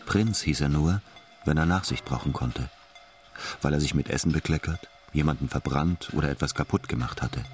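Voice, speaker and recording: An actor playing a reflective narrator of a philosophical radio drama, thoughtful and explanatory. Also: male voice, rumbling low, normal speed. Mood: storytelling. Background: music.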